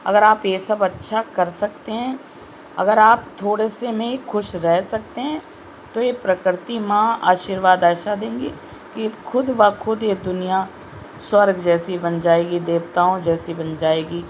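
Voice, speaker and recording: Slow earnest woman with a sore throat. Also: mid-range voice, fairly even intonation, a little hiss or hum, low-quality recording. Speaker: spiritual video host. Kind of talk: devotional motivational talk.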